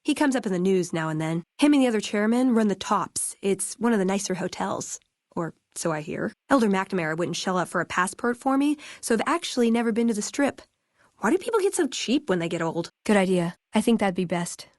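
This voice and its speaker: A woman's voice: mid-range voice, sing-song, fairly quick, no room sound, clean studio sound, close to an everyday voice. An American woman playing a wry, earnest young scribe in a video game, speaking in a conversational way. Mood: casual, friendly. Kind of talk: video game character dialogue.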